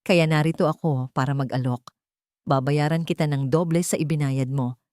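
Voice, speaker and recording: A woman with a Filipino accent voicing a cold, powerful, threatening rich man in a narrated story, speaking deliberately and clearly. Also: sits low, fairly even intonation, a little mellow, no echo, clean studio sound, close to an everyday voice. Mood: cold warning.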